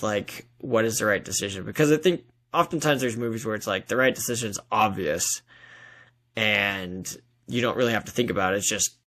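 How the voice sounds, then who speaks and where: A man's voice: on the low side, fairly bright tone. Man chatting casually as a guest. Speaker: guest author. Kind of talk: casual interview chat.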